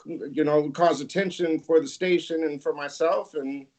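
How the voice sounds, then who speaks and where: A man's voice: fairly high pitch, steady tone, normal speed, a little mellow. A middle-aged man from California, a radio DJ guest telling long, laid-back stories with laughs. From Santa Barbara, California. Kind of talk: casual interview storytelling.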